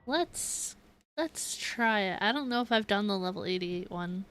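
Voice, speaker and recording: A woman's voice: medium pitch, lively intonation, unhurried, a bit bright, faint music behind. A woman game streamer chatting casually, musing aloud and indecisively to her viewers. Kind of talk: casual livestream chat.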